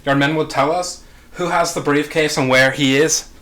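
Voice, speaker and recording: A man reading the part of a blunt, determined criminal crew leader in a screenplay table read, with a measured delivery. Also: a little high, steady tone, sharp tone. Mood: stubborn.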